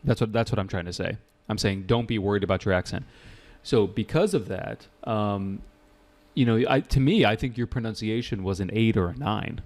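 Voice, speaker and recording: A lower-voiced American man coaches a student, talking loosely and circling back to restate his point. He sounds like a teacher on a video call. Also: normal speed, a little mellow. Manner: chatty, repeats himself to make a point. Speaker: IELTS teacher. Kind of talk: giving spoken feedback in an online class.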